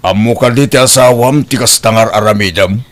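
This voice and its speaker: A man's voice: lowish voice, a little fast, natural voice. A man playing a concerned father who questions and admonishes his grown daughter, with an Ilocano accent, in a radio-style comedy drama. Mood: scolding. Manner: questioning, lecturing.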